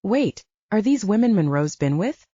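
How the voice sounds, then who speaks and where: A woman's voice: medium pitch, sing-song, normal speed, warm tone, no room sound. Woman voicing an angry, hurt young soldier woman. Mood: distressed, angry. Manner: demanding, cursing, emotional. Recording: dull lo-fi audio, dry.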